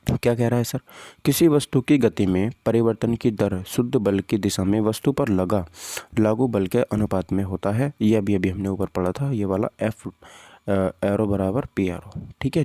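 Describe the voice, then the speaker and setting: Casting: male tutor, low dark voice, informal and repetitive, quizzing students through exam questions. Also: normal speed, dry recording. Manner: conversational, repeats points, poses questions to students. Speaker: physics teacher. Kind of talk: informal exam-prep lesson, walking through questions and answers.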